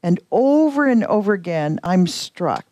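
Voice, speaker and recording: A woman's voice: fairly low pitch, sing-song, unhurried, warm tone, no echo. An older woman from the United States, a professor speaking on a conference panel, thoughtful and searching for words. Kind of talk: panel discussion. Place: conference hall.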